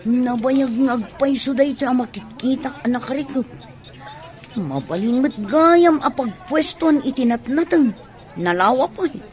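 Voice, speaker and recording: A woman's voice: high-pitched, animated, measured pace. A woman with an Ilocano accent playing a respectful, hopeful young wife in a radio drama. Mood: hopeful, pleased.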